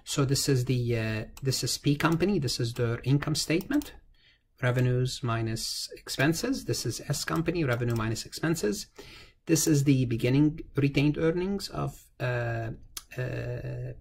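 A man teaching an informal step-by-step tutorial, explaining as he goes, repeating phrases and asking himself questions that he then answers. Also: medium pitch. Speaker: accounting lecturer. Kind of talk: informal tutorial lecture, thinking aloud through a worked example. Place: indoors, screen-recorded voice-over. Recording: noticeable background noise.

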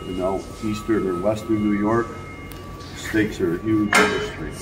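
A man's voice: on the low side, level tone, normal speed. A middle-aged, plain-spoken man casually explaining practical shop know-how as an equipment tester. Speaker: sawmill factory worker and equipment tester. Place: workshop and outdoor yard. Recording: distant noisy on-location camera audio.

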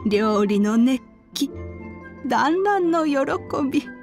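Slow-spoken, wise old woman. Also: on the high side, expressive, a little mellow. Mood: measured, wise. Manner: slow, archaic elderly phrasing. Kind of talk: Japanese video game voice acting. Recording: background music under the voice.

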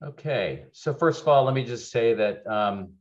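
A man's voice: medium pitch, animated, moderate pace. A middle-aged man giving a conversational keynote over a video call, candid and loose, with many fillers and restarts. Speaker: keynote speaker, legal executive. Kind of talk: webinar keynote presentation. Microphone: webcam on video call. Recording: dull lo-fi video call audio.

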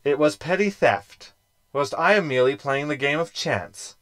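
An actor playing a scheming, sarcastic hologram in a sci-fi comedy audio drama, with an arch, wordy manner. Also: male voice, on the high side, normal speed, a bit bright, smooth, dry recording, clean studio sound, natural voice. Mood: smug. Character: scheming, sarcastic male hologram crewman, self-satisfied. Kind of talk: science fiction comedy audio drama acting.

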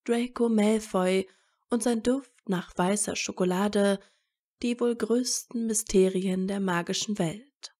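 A woman narrating scripted fan fiction as a story, in a measured storytelling manner. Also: medium pitch, fairly even intonation, dark tone.

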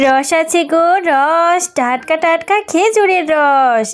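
A woman's voice: extremely high pitch, takes it slowly, music in the background. A woman voicing a bossy, scheming female fox who sells juice in a cartoon, with a coaxing sing-song manner. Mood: hawking, sing-song. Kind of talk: cartoon voice acting.

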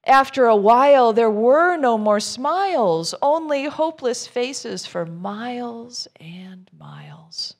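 A woman's voice: a little high, animated, slow pace, few pauses. A middle-aged woman minister reading a rhyming children's story aloud, expressively, with informal asides to her listeners.

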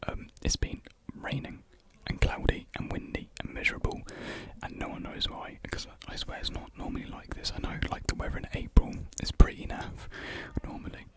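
A young British man whispering a casual, hesitant ASMR ramble in a breathy voice, very close to a desktop microphone. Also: a little fast, hardly any pauses, low-quality recording. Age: young adult. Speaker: ASMR video creator. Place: small indoor room at home. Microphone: large USB desktop mic, very close.

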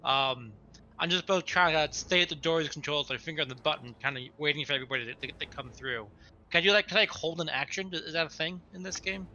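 Sharp, higher-voiced man at a game table. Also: quick pace. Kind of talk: casual game table chat. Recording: dull lo-fi sound, faint music.

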